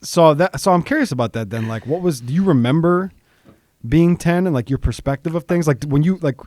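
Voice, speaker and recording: A man's voice: a little high, a little fast, a little mellow, no room sound, studio quality. A man hosting a daily interview show, asking curious, rambling questions full of restarts. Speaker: show host.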